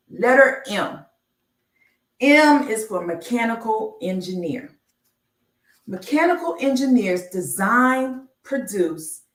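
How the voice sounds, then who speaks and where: A woman reading a children's picture book aloud on a live stream, enunciating deliberately like a teacher. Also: medium pitch, fairly bright tone. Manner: slow, deliberate enunciation with teacherly announcements of each letter. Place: indoor room, live stream. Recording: roomy, echoey, distant microphone.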